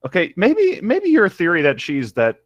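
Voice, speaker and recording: A man's voice: high voice, huge pitch swings, normal speed, no room sound, lo-fi sound. A man doing a theatrical, comic reading aloud with casual, jokey asides.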